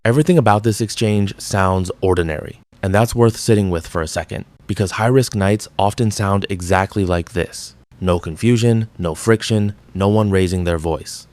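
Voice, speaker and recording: A man giving a measured, deliberate scripted narration as the host of an analysis channel. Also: lowish voice, a little mellow, dry recording. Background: background music.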